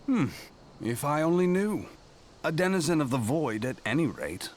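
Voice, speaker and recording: A man's voice: a little high, big pitch swings, moderate pace, darkish tone, a little hiss or hum. A man playing a wry, urbane, perceptive adventurer in a fantasy game, with teasing and theatrically formal diction. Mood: wry musing. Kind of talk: fantasy game cutscene acting.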